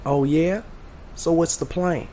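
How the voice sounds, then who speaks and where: A man's voice: on the high side, steady tone, slow, mellow, a little hiss or hum. A man playing an eager younger street sidekick in an audio drama, talking in casual slang. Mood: interested.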